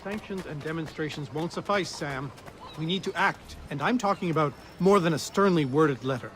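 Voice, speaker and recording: An actor playing an impatient, militant colonial agitator in a game cutscene. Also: male voice, fairly high pitch, normal speed, fairly bright tone, a little hiss or hum, close to an everyday voice. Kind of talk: dramatic game cutscene acting.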